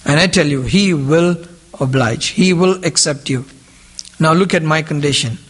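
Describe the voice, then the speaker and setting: A man's voice: a little high, normal speed, fairly bright tone. A man preaching a sermon in English, his second language, who repeats key phrases and puts questions to his listeners. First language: not English; has a different mother tongue. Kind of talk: sermon to a group.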